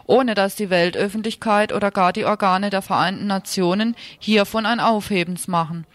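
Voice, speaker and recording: A woman's voice: medium pitch, dry recording. A woman reporter narrating a scripted radio news feature.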